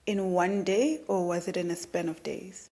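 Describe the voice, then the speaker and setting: A woman's voice: fairly low pitch, steady tone, normal speed, mellow. A woman hosting a podcast, asking curious, probing follow-up questions. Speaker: podcast host. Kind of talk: interview questions.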